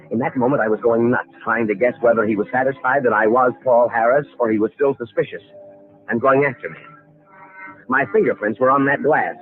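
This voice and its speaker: A man's voice: mid-range voice, lightly acted. An actor playing a calculating, nervy impostor heir who narrates his crime in an old radio thriller. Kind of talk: old-time radio thriller acting and first-person narration. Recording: vintage radio recording, narrow tinny band, music.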